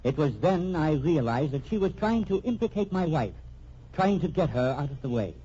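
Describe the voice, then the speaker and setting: An actor playing an earnest accused man dictating a formal, deliberate statement in a vintage radio mystery, husky-voiced. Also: on the high side, sing-song, warm tone, slight background noise. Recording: muffled old radio recording.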